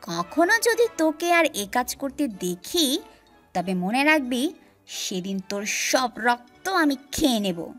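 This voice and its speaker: A woman voicing a huge, vengeful female ghost in a children's horror cartoon, with drawn-out, menacing threats and a cackling manner. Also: high-pitched, sing-song, soft background music, heavily acted. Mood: stern warning. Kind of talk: animated children's horror story.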